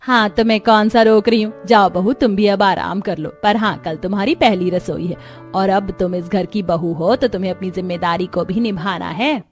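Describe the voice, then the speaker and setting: A woman voicing a bossy, sharp-tongued middle-aged mother-in-law in a cartoon story. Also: mid-range voice, moderate pace, faint music behind, natural voice. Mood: brisk, commanding.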